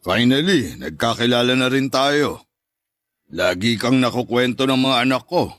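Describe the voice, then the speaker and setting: A man's voice: mid-range voice, lively intonation, moderate pace, a bit bright, no echo, lightly acted. An actor playing a confrontational ex and father, cool and pointed, in a radio drama. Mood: cool, challenging. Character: Henry, confrontational ex, father of her children. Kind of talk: Tagalog radio drama dialogue.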